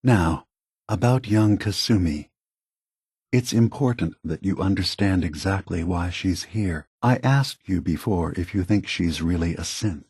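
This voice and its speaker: An actor playing an aging prototype android leader in a video game, a gentle, philosophical idealist with a soft, husky voice and measured, earnest phrasing. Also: male voice, sits low, no room sound, studio quality, exaggerated voice. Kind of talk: acted video game dialogue.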